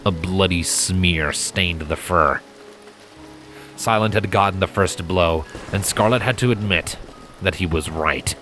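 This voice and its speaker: A man reading a dramatic fantasy story as a scripted narrator, measured and deliberate. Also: low voice, fairly bright tone, soft background music. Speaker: fan fiction narrator.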